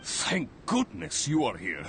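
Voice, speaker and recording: A man's voice: on the high side, huge pitch swings, slow pace, gravelly, a little hiss or hum, exaggerated voice. A man playing a polite, eager adventurers' guild organizer in a video game, explaining things earnestly. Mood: apologetic, earnest. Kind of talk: English video game voice acting.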